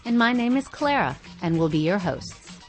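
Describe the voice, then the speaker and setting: A woman's voice: mid-range voice, expressive, moderate pace, faint music behind. A woman co-hosting a podcast, reading scripted news. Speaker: podcast co-host.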